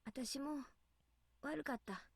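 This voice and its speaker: A woman's voice: high-pitched, measured pace, vocal fry. A woman playing a downhearted, apologetic teenage schoolgirl in an anime visual novel, speaking in a subdued way. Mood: tearful apology. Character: contrite, downhearted teenage girl classmate.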